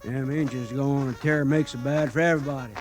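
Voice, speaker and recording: An actor playing a cautious, easygoing rustic cavalry private with a rural American accent, in an old Western radio drama. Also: male voice, mid-range voice, fairly even intonation, fast, lightly acted. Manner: folksy drawl, placating. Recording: old radio recording, faint noise.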